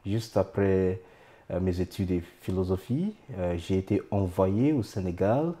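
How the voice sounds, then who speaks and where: Deep, slow-speaking Nigerian-born priest. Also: male voice, warm tone. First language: Idoma. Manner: halting, with frequent "euh" pauses. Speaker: priest, interview guest. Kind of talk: interview answers. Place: studio.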